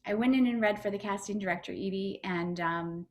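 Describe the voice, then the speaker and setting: A woman's voice: mid-range voice, brisk. A woman comedian and actor answering interview questions over a video call, chatty and upbeat, with lots of fillers and restarts.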